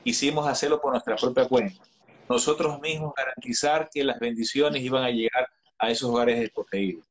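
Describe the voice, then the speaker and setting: A man's voice: mid-range voice, brisk, low-quality recording. A man in his thirties talking earnestly as a guest in an online interview, advising listeners with frequent restarts and hesitations, heard through a video call. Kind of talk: earnest live-stream conversation. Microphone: video call webcam.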